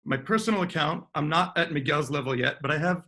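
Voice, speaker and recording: A man, a dentist, talking casually on a panel with a scratchy voice, often clearing his throat as he agrees and explains. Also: fairly high pitch, fast talker, sharp tone.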